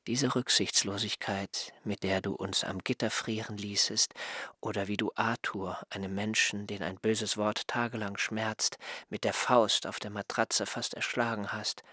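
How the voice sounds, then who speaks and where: A reproachful aging assistant. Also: male voice, lowish voice, flat delivery, unhurried, sharp tone, husky, no room sound, studio quality, full character voice. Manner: reproachful, complaining, mildly mocking. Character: aggrieved male assistant, no longer young, whiny. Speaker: surveyor's assistant. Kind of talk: radio play acting.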